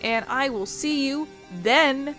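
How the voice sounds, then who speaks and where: A woman's voice: high-pitched, slow pace, a bit bright, faint music behind. A woman giving casual, wry let's play commentary as a gaming video host, swearing freely.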